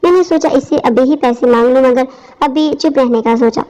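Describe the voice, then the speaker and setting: A woman's voice: high-pitched, moderate pace, mellow. A woman reading a scripted first-person story as its narrator. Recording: dull lo-fi audio.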